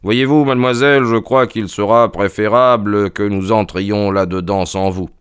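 A man voicing a sharp, confident detective in a brisk, authoritative, emphatic way. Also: fairly low pitch, steady tone, smooth, no echo, studio quality, natural voice. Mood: decisive. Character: sharp, commanding male detective, confident and urgent.

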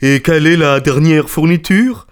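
Guy reading as clever detective in an audiobook, smooth clear voice, polite but bossy, very sure of himself. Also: medium pitch, pitched above the performer's own voice, close to an everyday voice. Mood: shrewd, pleased. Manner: deliberate, smooth, articulate. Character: shrewd, self-assured male detective, courteous and commanding. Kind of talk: audiobook character voice.

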